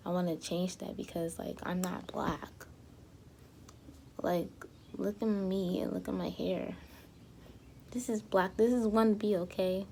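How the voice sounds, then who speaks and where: A young woman vlogging casually to her viewers, a little hoarse as she loses her voice, rambling with lots of fillers and restarts. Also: medium pitch, steady tone, measured pace, long pauses, darkish tone, a little hiss or hum. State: slightly hoarse, losing her voice. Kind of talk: casual talk to camera.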